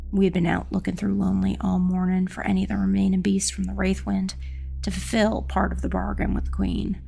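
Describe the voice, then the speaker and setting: Very creaky female audiobook narrator. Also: mid-range voice, monotone, brisk, dark tone, faint music behind. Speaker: first-person narrator. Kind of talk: scripted dark fantasy audiobook narration.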